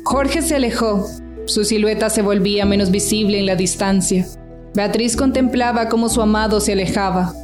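A woman's voice: medium pitch, moderate pace, music in the background. A woman delivering scripted narration as the storyteller of a radio drama.

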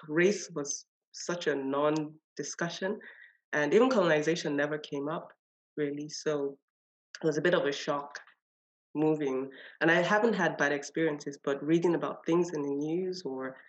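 A woman with a Nigerian accent, a designer and artist, telling her story in a podcast interview with thoughtful pauses and light laughs. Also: low voice, rough recording. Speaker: guest, industrial designer and artist.

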